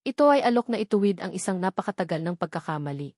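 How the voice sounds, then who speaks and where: A woman's voice: lowish voice, animated, quick pace, darkish tone, dry recording, studio quality. A woman reads a scripted romantic story as a dramatic narrator.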